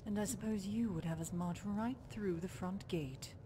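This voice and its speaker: A woman's voice: mid-range voice, normal speed, lightly acted. A woman playing a blunt, bloodthirsty warrior in a fantasy video game, sarcastic and dismissive in manner. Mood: scornful. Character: scornful, bloodthirsty, blunt female warrior companion. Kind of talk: scripted video game dialogue. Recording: clear background ambience noise.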